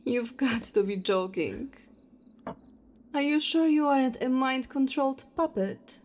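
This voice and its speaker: A woman playing a cold, ruthless vampire hunter in an audio roleplay, speaking with deliberate, taunting contempt. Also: high-pitched, darkish tone. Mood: scornful disbelief.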